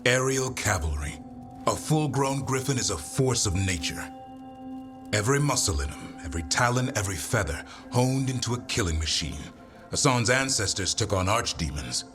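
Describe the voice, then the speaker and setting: Deep, earnest griffin-keeping warrior. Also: male voice, big pitch swings, moderate pace, music in the background. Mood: proud, assured. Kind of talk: video game dialogue acting.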